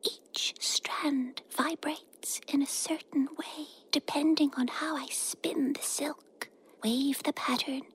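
A woman playing a tiny, curious, friendly spider in a children's audio drama, with a whispery, breathy, wide-eyed delivery. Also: high-pitched, steady tone, low-quality recording. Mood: earnest, proud. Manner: slow, wide-eyed, inquisitive.

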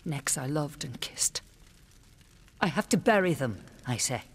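An actress playing a grieving, defiant queen of a fallen city, speaking in a bitter, measured and scornful way in first-person radio drama narration. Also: deep voice, expressive, in a large hall.

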